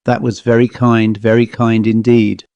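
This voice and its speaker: A man's voice: on the low side, level tone, normal speed, no room sound, dull audio, lightly acted. A man doing a haughty, sarcastic French governess. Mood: scornful. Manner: sarcastic, sharp politeness.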